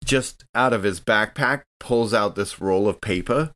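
Low-voiced man, loosely rambling tabletop player. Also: measured pace, fairly bright tone. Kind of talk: casual improvised in-game narration and chat.

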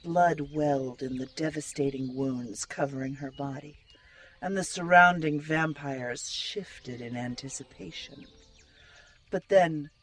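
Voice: medium pitch, unhurried, a bit bright. A performer playing a solemn audiobook narrator, reading a vampire romance in dramatic scripted style.

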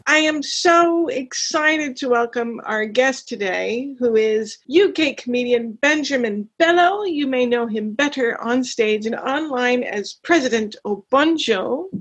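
A woman's voice: a little high, a little slow, few pauses, a bit bright, breathy edge. A woman podcast host introducing and narrating in a wry, conversational way. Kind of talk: scripted podcast narration and interviewing.

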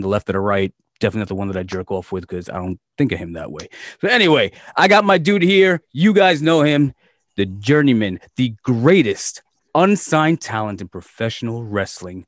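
A man with a somewhat low voice hosts a podcast, talking loosely and joking with frequent swearing. His voice is dry and close-miked, with no room echo. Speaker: podcast host. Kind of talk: casual, profane podcast chat.

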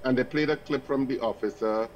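A man's voice: medium pitch, highs cut off, slight background noise. A man co-hosting a breakfast radio show, conversational and questioning. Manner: conversational, questioning, drawn-out fillers. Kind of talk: casual studio banter. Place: radio studio.

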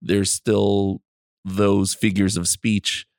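A woman with an unusually deep voice leads the interview. She asks friendly questions and keeps the guest going with short encouraging replies. The sound is close and dry. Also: level tone, extremely slow, darkish tone, no room sound. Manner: encouraging, frequent backchannel replies. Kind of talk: casual interview.

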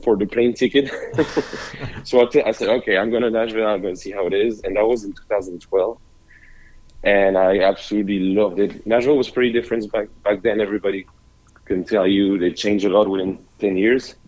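A man with a French accent, a native French speaker, tells his story as a podcast guest. He speaks in a halting way, full of "uh"s and restarts. His voice sits a little low. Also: fairly even intonation, a little mellow, lo-fi sound. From France. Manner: hesitant, many "uh"s and restarts. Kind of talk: casual interview.

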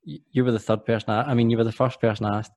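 A man's voice: mid-range voice, level tone, very fast, darkish tone, dry recording. A man hosting a podcast interview, chatty and jokey, often restarting his sentences. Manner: rambling, self-correcting, jokey. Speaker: podcast host.

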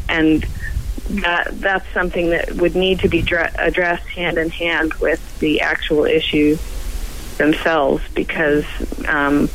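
A woman scientist guest on a radio roundtable, croaky from a cold, speaking haltingly with many pauses and restarts. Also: sits low, fairly bright tone, slight background noise, poor audio.

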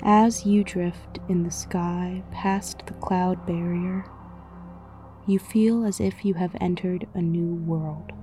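A woman narrating a scripted guided meditation in a soothing, measured way with frequent pauses, her voice soft and breathy, often near a whisper. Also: on the low side, fairly even intonation. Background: music.

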